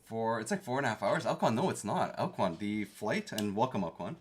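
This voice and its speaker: A man's voice: on the low side, big pitch swings, a little fast, slight background noise. Chatty young man streaming from home. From Canada. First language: Korean. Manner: chatty, reads chat aloud, playfully defensive. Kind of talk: casual live-stream chat with viewers.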